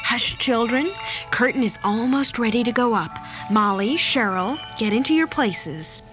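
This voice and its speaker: A woman's voice: a little high, a little slow, music in the background. Woman acting a firm, composed schoolteacher. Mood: brisk, hushing. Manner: calm, firm, hushed authority. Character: brisk, composed schoolteacher woman marshalling children.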